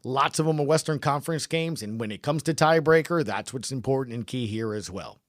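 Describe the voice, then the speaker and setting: A man's voice: mid-range voice, lively intonation, a little fast, no room sound, clean studio sound. A man hosting a sports podcast in casual talk, speaking emphatically in short punchy phrases and often repeating himself for stress.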